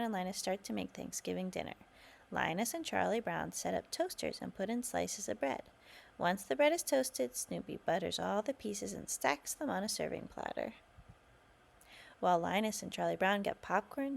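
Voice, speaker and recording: A woman's voice: mid-range voice, gravelly. A woman reading a children's storybook aloud as scripted narration.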